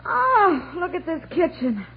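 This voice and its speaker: An actress playing a brisk, fretting housewife and mother in a chatty, natural 1960s radio comedy style. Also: very high-pitched, big pitch swings, gravelly, heavily acted. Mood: weary, chatty. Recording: muffled old radio broadcast, some noise.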